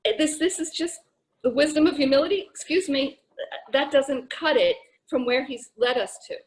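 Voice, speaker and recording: Woman giving blunt, halting opinions. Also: high-pitched. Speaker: participant in a poetry discussion. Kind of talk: unscripted discussion of a poem.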